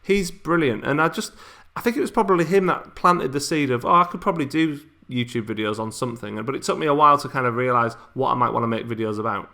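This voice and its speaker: Guy, bright voice, medium pitch, chatty q&a vlog, lots of ums. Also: fast, vocal fry. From England. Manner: chatty, informal, frequent ums and restarts. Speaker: YouTuber. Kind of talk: casual subscriber Q&A.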